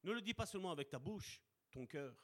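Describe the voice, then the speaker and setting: Male preacher, high voice, lively swooping delivery, impassioned sermon, drawn-out emphasis. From Italian heritage. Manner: impassioned, addresses congregation as brother and sister; slows down to stress words.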